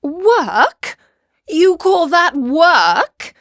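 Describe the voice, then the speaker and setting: A woman's voice: high voice, sing-song, slow pace, fairly bright tone, no room sound, studio quality, voice pushed up, heavily acted. A woman voicing a teasing, sarcastic mother in a children's story, wry and scolding with an incredulous edge. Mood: incredulous, amused. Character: brisk, teasing, sarcastic mother of a young witch.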